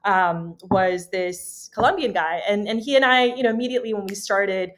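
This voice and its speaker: A woman from Miami, a podcast guest, telling stories in a lively, animated way and laughing often. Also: medium pitch, normal speed. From Miami, USA. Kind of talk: casual storytelling chat.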